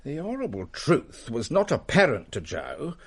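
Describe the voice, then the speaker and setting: A man playing a prim, excitable Victorian English gentleman in a radio drama, telling tales in formal, theatrical phrases. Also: a little high, a little slow, creaky, husky, exaggerated voice. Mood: relishing the tale. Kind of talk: period radio drama storytelling.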